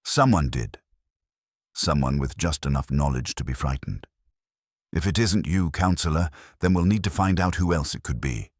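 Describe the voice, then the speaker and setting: A man's voice: a bass voice, animated, moderate pace, no echo, studio quality. A man playing a shrewd, courteous police inspector who questions tersely and dryly in a detective story. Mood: polite, probing. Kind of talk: audiobook detective mystery character reading.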